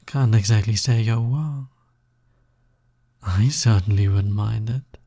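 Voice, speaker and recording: A man voicing a flirty, pushy naga snake demon roommate in an intimate audio roleplay, coaxing and casual with a breathy, husky delivery. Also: lowish voice, slow, warm tone, no room sound. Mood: coaxing, teasing.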